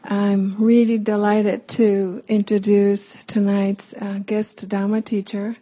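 A woman hosting a meditation evening, introducing the guest teacher with many pauses and ums. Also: medium pitch, little pitch movement, dark tone. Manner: hesitant, many ums and uhs. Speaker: host introducing the speaker. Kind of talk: welcoming introduction.

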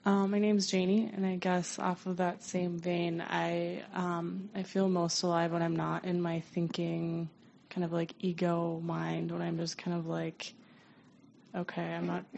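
A woman's voice: lowish voice, gravelly, a little mellow, muffled recording, slight background noise. A woman in the audience sharing her experience casually, with lots of "like" and "kind of".

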